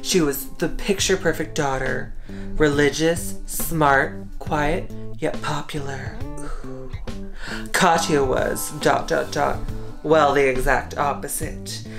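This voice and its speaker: A woman giving a slow, deliberate dramatic reading of a story, with guitar music playing behind. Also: low-pitched, fairly even intonation, creaky. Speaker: story reader. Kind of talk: dramatic reading of fan fiction.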